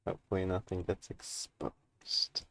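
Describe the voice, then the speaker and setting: A woman's voice: rumbling low, level tone, a little slow, fairly bright tone, dry recording. A woman chatting casually on a live stream, with faint noise and clicks.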